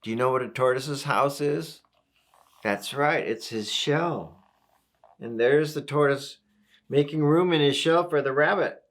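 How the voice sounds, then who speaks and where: Slow-paced man reading a children's picture book. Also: on the high side. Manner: gentle, explanatory, asks the listener questions. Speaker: volunteer story reader. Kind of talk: read-aloud children's picture book with asides to young listeners.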